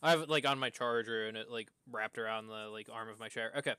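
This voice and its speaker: A man's voice: lowish voice, quick pace, bright, forceful tone, a little hiss or hum. A man streaming a game with casual live commentary, exclaiming when things go wrong. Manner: reads game lines aloud, exclaims at setbacks.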